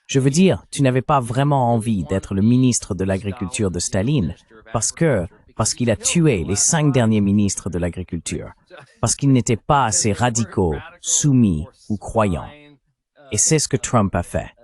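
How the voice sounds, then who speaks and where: A man's voice: lowish voice, big pitch swings, a little slow, a little mellow. A man's AI dubbing voice giving a psychologist's answers in an interview, as a translated voice-over.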